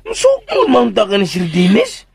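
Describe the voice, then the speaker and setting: A woman playing an excitable, protective young friend who fusses and exclaims in a Cebuano radio drama. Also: medium pitch, moderate pace, creaky, breathy edge, exaggerated voice. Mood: upset, concerned. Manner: fussing, exclaiming, repeating words.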